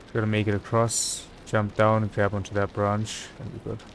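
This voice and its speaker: A man with a fairly low voice streams a game, talking casually through his thoughts as he plays. His commentary is informal and half to himself. There is clear background noise with clicks behind him. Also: completely flat delivery, normal speed. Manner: casual, muttering thoughts aloud. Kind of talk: live gameplay commentary.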